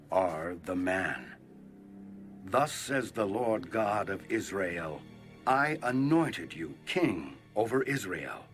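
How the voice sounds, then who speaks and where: An actor playing a solemn, stern prophet pronouncing judgment in a measured, dramatized scripture reading. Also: male voice, medium pitch. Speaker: audio Bible voice actor.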